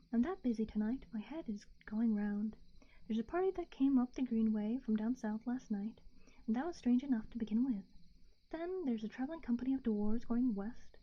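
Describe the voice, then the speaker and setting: A woman voicing a bustling, forgetful male innkeeper, in a hurried, chatty whisper, on muffled lo-fi audio. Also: on the high side, lightly acted.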